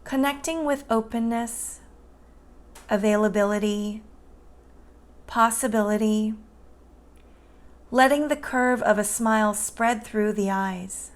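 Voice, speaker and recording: A woman guiding a meditation in a soothing, gentle and unhurried manner. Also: on the high side. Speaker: meditation guide. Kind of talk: guided meditation narration.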